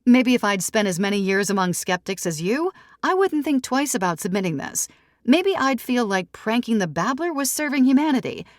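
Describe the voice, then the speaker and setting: A woman's voice: on the high side, sing-song, normal speed, dry recording, clean studio sound, pitched above the performer's own voice. A woman reading an audiobook, voicing a composed, firm female tabloid editor with an assured, pointed way of talking. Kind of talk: audiobook character dialogue.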